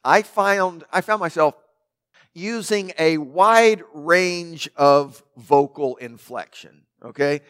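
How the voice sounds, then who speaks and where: Man, pastor, loud voice, slightly high pitch, emphatic sermon delivery. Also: slow, clear, resonant tone. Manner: emphatic preaching with rhetorical questions and building lists.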